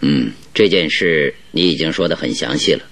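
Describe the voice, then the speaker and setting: An actor in a radio drama playing a probing, methodical male detective with a deliberate, inquisitive delivery. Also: a bass voice, lively intonation, fairly bright tone, exaggerated voice. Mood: measured, probing. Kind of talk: old Chinese radio drama acting.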